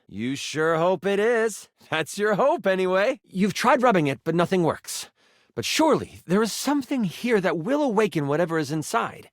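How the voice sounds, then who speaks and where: Animated, theatrical game master. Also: male voice, fairly high pitch, no echo, studio quality. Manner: theatrical, eager, geeky. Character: excitable, encouraging male tabletop game master, a personified die. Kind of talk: dramatic tabletop fantasy narration with playful asides.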